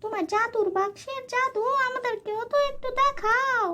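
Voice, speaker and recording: A scheming cartoon aunt, acted by a woman, speaks in a very high-pitched voice. She is greedy and wheedling, gushing over people as she works on them. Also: moderate pace, pushed and bright. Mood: sly, dismissive. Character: scheming, greedy aunt, sugary then pleading. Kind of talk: children's cartoon voice acting.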